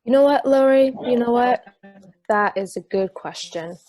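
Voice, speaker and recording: A woman's voice: on the high side, normal speed, a little mellow, close to an everyday voice, rough recording. A woman playing a playful, teasing long-married wife in an amateur table read of a play.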